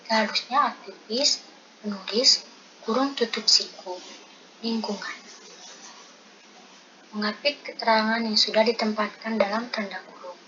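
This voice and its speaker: A young woman reading out presentation material item by item as a student presenter. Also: fairly high pitch. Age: young adult. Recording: dull lo-fi audio with loud noise.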